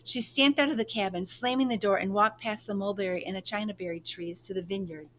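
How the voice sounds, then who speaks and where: A woman's voice: medium pitch, a little fast, fairly bright tone, slight background noise. A woman storyteller reading a children's book aloud.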